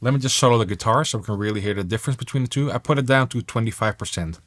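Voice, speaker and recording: A man's voice: fairly low pitch, very fast, a bit bright. Man casually presenting a music production tutorial.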